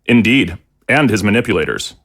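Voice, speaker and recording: Gruff, hawkish general, acted by a man. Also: on the low side, big pitch swings, a little fast, fairly bright tone, full character voice. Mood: suspicious. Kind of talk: audio drama acting.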